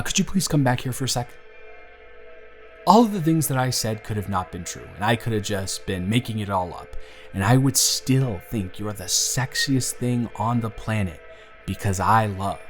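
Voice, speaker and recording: A young man performing an affectionate, playful boyfriend in an intimate roleplay audio, tender, teasing and reassuring. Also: mid-range voice. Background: soft background music.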